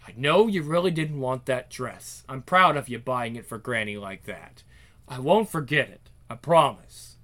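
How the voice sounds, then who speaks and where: Guy doing a kind old grandpa in a story, gentle and loving, talks tender. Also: mid-range voice, expressive, moderate pace, pitched below the performer's own voice, natural voice. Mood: tender, regretful.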